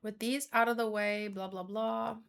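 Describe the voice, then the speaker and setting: A woman's voice: fairly high pitch, steady tone, normal speed, creaky. A woman talking casually and informally as a livestreamer giving commentary, often reworking her sentences as she goes. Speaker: streamer reacting to manga.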